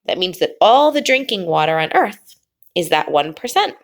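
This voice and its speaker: A woman teacher reading a picture book aloud to children, pausing before key words and explaining the pictures. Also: fairly low pitch, sing-song. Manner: slow, drawn-out reading with sing-song pauses before the last word.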